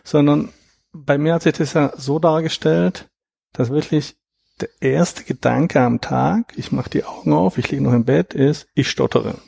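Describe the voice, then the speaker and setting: A man in his thirties, a guest in an interview, explaining at length in a reflective, measured way with a gravelly, husky voice. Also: fairly high pitch, darkish tone, dry recording, clean studio sound. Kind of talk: conversational interview.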